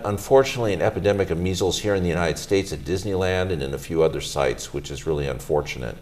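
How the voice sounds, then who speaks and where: A middle-aged man, a physician, hosting a health show, presenting in a measured, formal way and interviewing a guest. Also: low voice, a little mellow.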